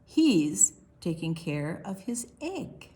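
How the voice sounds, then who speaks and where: A woman reading a picture book aloud for preschoolers, expressively and deliberately, with quiet asides to the young listeners. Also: on the low side, sing-song. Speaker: children's librarian hosting online storytime.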